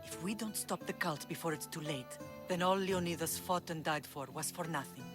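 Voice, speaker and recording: An actress playing a resolute Spartan mother in a video game, with a solemn, measured way of speaking. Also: deep voice, lightly acted. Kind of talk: dramatic video game acting. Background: prominent music.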